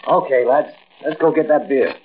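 Male actor as nosy cocky American crook, slangy, mid-pitched, 1940s radio drama, tinny crackly recording. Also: moderate pace, natural voice. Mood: pushy. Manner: slangy, pushy. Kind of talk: 1940s radio acting, casual dialogue.

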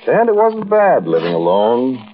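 An actor playing an intense, haunted American man who confides an eerie tale straight to the listener in a 1940s radio monologue, with emphatic pauses. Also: medium pitch, huge pitch swings. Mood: reminiscing. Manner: conversational, addresses listener directly, emphatic pauses. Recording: muffled, noisy old radio recording.

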